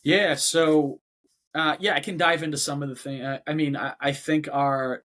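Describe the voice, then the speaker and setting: A man's voice: on the high side, steady tone, moderate pace, a bit bright. A man with a Boston accent, a company head talking as a guest in a casual interview, often repeating words before going on. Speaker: robotics company CEO, guest.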